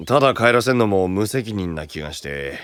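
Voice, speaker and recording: A man playing a gruff, dutiful young knight in an anime-style voice drama, rough-spoken and grumbling. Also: on the low side, animated, moderate pace, a bit bright, no echo.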